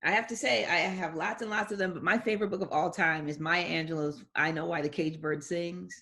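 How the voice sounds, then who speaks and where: A middle-aged woman, a guest educator, answering interview questions in a measured, reflective way, phrase by phrase. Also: fairly low pitch, fairly even intonation, sharp tone.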